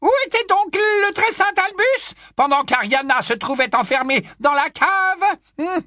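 A man voicing a nosy, cackling aunt over a hundred years old, with a shrill squawking voice that keeps repeating "no, no, no". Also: lively intonation, a little slow, pushed and bright, dry recording, clean studio sound, full character voice. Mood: gloating. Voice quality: shrill, high squawk, endlessly repeating "non, non, non". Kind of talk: storybook reading.

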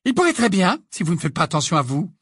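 Man doing a bossy schoolgirl, earnest, scolding, raised pitch, storybook. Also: moderate pace, no room sound, studio quality, lightly acted.

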